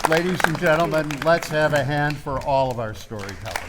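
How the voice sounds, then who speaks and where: A man's voice: a little high, animated, brisk, over a noisy crowd, very noisy, lightly acted. A man playing a genial contest emcee, making formal stage announcements to an audience. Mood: genial, ceremonious. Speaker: master of ceremonies.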